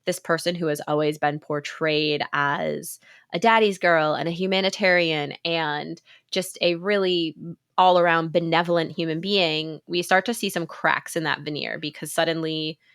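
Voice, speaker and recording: Woman in her thirties, podcast co-host with a head cold, fairly low pitch, close dry mic, chatty with lots of fillers. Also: steady tone, moderate pace, fairly bright tone, clean tone, no echo. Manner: chatty, laughs often, many fillers. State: congested with a cold. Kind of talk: casual podcast chat.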